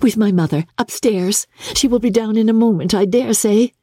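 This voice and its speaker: Woman as a spirited young gentlewoman, lifted high. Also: normal speed, husky, no room sound, clean studio sound, lightly acted. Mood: brisk, friendly.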